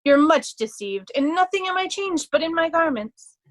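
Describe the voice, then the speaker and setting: A woman's voice: extremely high pitch, animated, moderate pace, no echo. A woman playing a young nobleman in peasant disguise, urgently and vividly guiding, in an amateur Shakespeare reading. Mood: insistent. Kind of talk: amateur Shakespeare reader's theatre.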